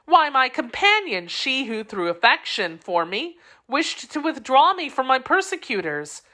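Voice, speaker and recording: A woman voicing a frantic, loving young woman who is dying, in a high breathless voice. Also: very animated, measured pace, bright, forceful tone, heavily acted. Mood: overjoyed, urgent.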